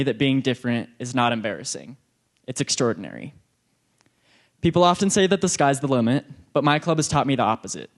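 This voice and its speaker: A teenage boy reads out a prepared speech at a youth awards event. His pitch sits in the middle for a man, and he keeps his delivery earnest and measured. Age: teens. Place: event hall.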